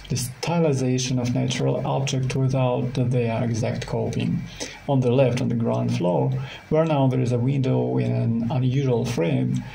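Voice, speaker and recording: A man with a Russian accent guides a tour in careful, halting non-native English. His voice is dark and relaxed, with a good deal of creak at a middling pitch. He sounds like he is following a prepared script, with faint noise behind him. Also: poor audio. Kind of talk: scripted virtual tour narration.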